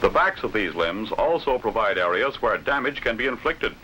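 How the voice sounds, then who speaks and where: American guy narrating an old training film, formal and authoritative, lively intonation, bit of background noise. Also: fairly low pitch, poor audio. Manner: authoritative, deliberate enunciation.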